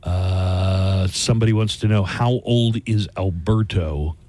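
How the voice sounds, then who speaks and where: A man's voice: low voice, slow, darkish tone. Dry-humored man hosting morning radio. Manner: dry, wry joking. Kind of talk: casual morning radio banter. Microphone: studio microphone.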